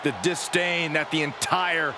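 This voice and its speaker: A man playing an excitable pro wrestling play-by-play commentator in a video game, with dramatic, emphatic delivery. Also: a little high, unhurried, close to an everyday voice. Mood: excited. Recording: loud arena crowd noise throughout. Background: roaring arena crowd.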